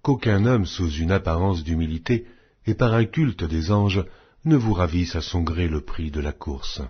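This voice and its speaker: A man reads scripture aloud as an audiobook narrator, with a low-pitched and somewhat rough, husky voice. He goes slowly and solemnly, setting out each phrase in a measured, declamatory manner. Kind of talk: solemn scripted Bible reading. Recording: dull, muffled lo-fi audio.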